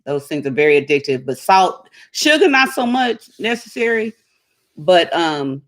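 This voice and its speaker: A woman's voice: fairly low pitch, very animated, moderate pace. A middle-aged woman, chatting casually with her viewers and laughing often. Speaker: vlogger. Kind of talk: casual live chat with viewers.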